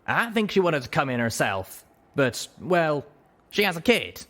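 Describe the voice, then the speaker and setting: A man voicing a brisk, wry female griffin mercenary leader in a storybook reading, chatty and blunt. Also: a little high, big pitch swings, fairly bright tone, voice pushed up. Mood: blunt, awkward.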